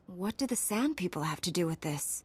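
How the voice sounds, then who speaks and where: A woman's voice: fairly low pitch, expressive, normal speed, breathy edge. An actress playing a resolute, earnest young female space knight in a video game.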